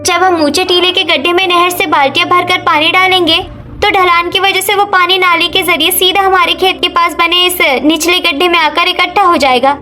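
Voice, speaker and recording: A woman's voice: extremely high pitch, bright, forceful tone. A woman voicing a resourceful, eager young village daughter-in-law in a Hindi cartoon story.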